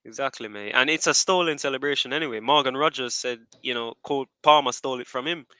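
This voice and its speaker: A man chats casually as a podcast host, rambling through restarts. His voice is bright and crisp. The sound is dry and close. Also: medium pitch, fast talker, dry recording. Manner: rambling, restarts often, wraps up repeatedly. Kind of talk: casual chat.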